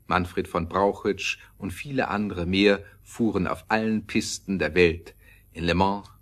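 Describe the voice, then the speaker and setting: A man narrating a scripted audio play as a storyteller, measured and with dramatic emphasis. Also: low-pitched.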